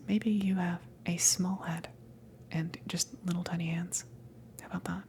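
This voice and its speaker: A low, slightly creaky whisper belongs to this friendly alien salvage crew member, acted by a woman. The delivery is unhurried and hesitant, an easygoing, awkward ramble in an intimate ASMR roleplay style, soft and breathy throughout. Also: a little hiss or hum. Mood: teasing, mildly offended. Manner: hesitant, halting, casual rambling.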